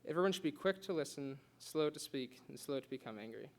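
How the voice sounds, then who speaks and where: Young male student, quick delivery, earnest speech with a light stutter. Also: fairly high pitch, lively intonation. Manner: earnest, with occasional stutters and restarts. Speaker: student giving a class speech. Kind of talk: prepared speech read aloud.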